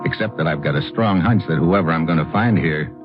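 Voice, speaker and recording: An actor playing a tough, brisk American secret agent in an old radio detective drama, with clipped first-person narration and dialogue. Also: male voice, extremely low pitch. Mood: tense, hard-boiled. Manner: clipped, hard-boiled delivery. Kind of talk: 1950s radio detective acting, scripted narration. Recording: muffled old radio recording, loud music.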